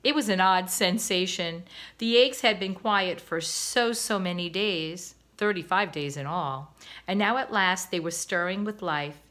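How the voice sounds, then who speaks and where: A woman, a teacher, reading a children's novel aloud in an expressive, gentle way. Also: fairly low pitch, a little slow. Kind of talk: storybook read-aloud.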